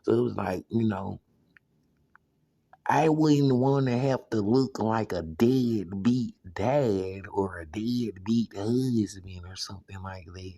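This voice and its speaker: A man in his thirties talks on and on in a loose, rambling monologue, leaning on "you know" at every turn. His voice sits low and rough, with a husky, fried edge. The sound is dry, with no room echo. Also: slow, mellow. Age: 30s.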